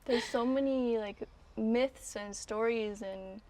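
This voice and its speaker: A woman hosting a conversation, introducing her guest and asking gentle, thoughtful questions. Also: fairly high pitch, slow. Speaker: podcast host. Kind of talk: interview hosting.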